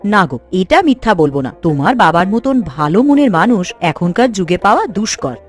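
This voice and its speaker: A woman playing an elderly village wife in a cartoon, chiding yet fond, with expressive delivery. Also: on the low side, fairly quick, soft background music. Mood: reflective. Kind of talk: cartoon dialogue.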